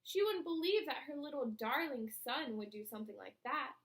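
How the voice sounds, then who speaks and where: A woman voicing an excitable, indignant young schoolgirl who exclaims and complains in a children's storybook. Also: high voice, normal speed, echoey room. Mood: aggrieved. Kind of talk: children's storybook read-aloud.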